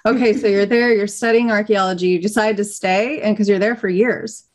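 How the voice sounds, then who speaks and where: A woman's voice: medium pitch, steady tone, brisk. A middle-aged woman hosting an interview, reacting with effusive enthusiasm and asking questions casually. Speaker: interviewer, host.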